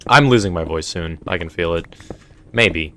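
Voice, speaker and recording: A man's voice: low-pitched, pushed and bright. A young man chatting casually on a live stream, answering chat and rambling, his voice a little strained. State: voice feeling strained. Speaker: streamer running a game server.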